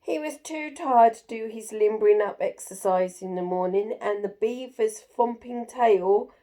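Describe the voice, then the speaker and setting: A woman's voice: fairly high pitch, unhurried, mellow. A woman storyteller reading a children's picture book aloud with expression.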